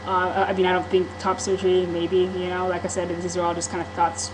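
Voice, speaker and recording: A woman speaks to a camera microphone in a casual vlog, her voice sitting a little low. She talks candidly and rambles, with frequent ums and restarts. Clear background noise runs under the home-video recording. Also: monotone, fairly quick. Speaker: vlogger. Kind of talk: casual personal vlog monologue.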